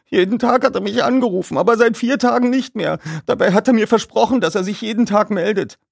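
A man's voice: sits high, creaky, no echo, studio quality, voice pushed up. Young boy for a detective storybook, played by a man: anxious, sad, thin raised voice, hesitant and upset. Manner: halting, upset.

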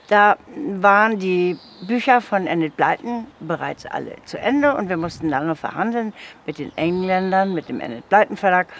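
A woman speaking as an audio drama producer, recollecting casually in a rambling, self-correcting and emphatic way. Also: fairly low pitch.

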